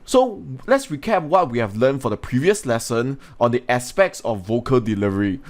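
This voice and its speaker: Man narrating an English lesson. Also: mid-range voice. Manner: instructional, addresses students with questions. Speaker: English language teacher. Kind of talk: scripted lesson narration with informal asides.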